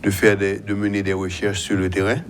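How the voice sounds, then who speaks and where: Man, halting and explanatory. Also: lowish voice, monotone, slight background noise. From United States. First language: English. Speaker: guest commentator. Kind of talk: explanatory talk show interview.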